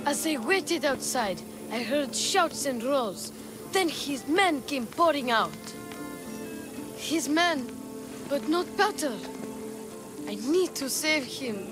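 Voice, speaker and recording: Woman as high-voiced, feisty young boy. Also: slow pace, pauses often, over music. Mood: earnest, worried. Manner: earnest, excitable, defiant. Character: eager, feisty young Greek boy, adventurer's child. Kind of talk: video game character acting.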